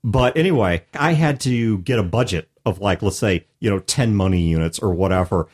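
A man co-hosting a casual podcast chat, explaining things in a thoughtful, measured way. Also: on the low side, darkish tone, slightly rough. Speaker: podcast co-host.

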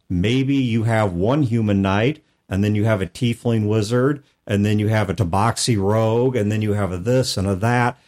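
A man's voice: lowish voice, a little mellow. A man co-hosting a gaming talk show, laying out ideas step by step in casual chat. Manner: methodical, lays out ideas with examples. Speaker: podcast co-host.

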